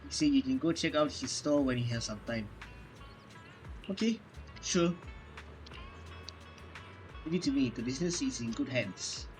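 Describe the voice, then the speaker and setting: A man reading game dialogue aloud during a playthrough, halting and stumbling over words as he goes. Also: medium pitch, faint music behind, rough recording. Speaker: gaming streamer.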